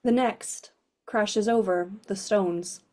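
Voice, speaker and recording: A woman from Arizona who works as a literary translator, speaking gently and a little hesitantly on a video call. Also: mid-range voice, slow. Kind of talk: conversation and bilingual reading.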